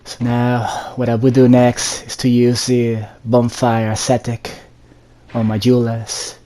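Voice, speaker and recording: A man giving casual, low-key commentary on his own video game playthrough, thinking aloud in fragments. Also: measured pace. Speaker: gamer narrating own playthrough. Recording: steady background noise behind voice.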